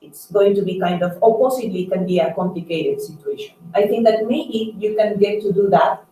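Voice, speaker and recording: A woman doctor giving advice on a conference call, talking haltingly with "like" and "right?" dropped in often. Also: medium pitch, dark tone. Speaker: staff doctor. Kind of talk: advisory conference call talk. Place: echoey room on a conference call. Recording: roomy, distant mic, noisy conference call.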